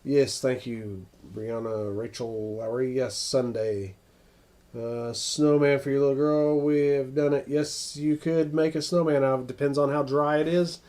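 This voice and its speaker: An American man hosting a casual, unscripted weather livestream, thinking aloud in a halting way as he answers viewers. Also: medium pitch, fairly even intonation, mellow. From northern United States.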